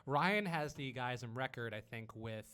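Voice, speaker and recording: A man's voice: medium pitch, lively intonation, unhurried, pushed and bright, smooth. A man hosting a podcast in casual group chat, teasing and joking with plenty of swearing. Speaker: podcast host.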